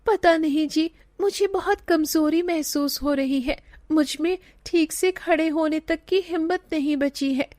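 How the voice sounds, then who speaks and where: A woman's voice: high voice, a little slow, few pauses, a little mellow, dry recording, studio quality, voice pushed up. A woman voicing a meek, ailing young village wife in a cartoon story, with weak, pleading delivery. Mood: weak, suffering. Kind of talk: cartoon moral story acting.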